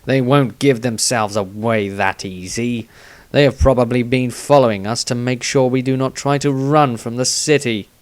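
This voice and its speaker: A man voicing a wary, grim male investigator in a fantasy story, deliberate and brooding in a formal way. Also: medium pitch, steady tone, no echo, close to an everyday voice.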